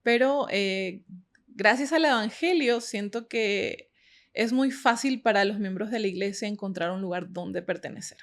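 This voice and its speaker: A woman from Ecuador talks as a guest in a friendly, slightly hesitant way. Her pitch is mid-range and she leans on fillers while she thinks. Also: measured pace. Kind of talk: scripture study discussion.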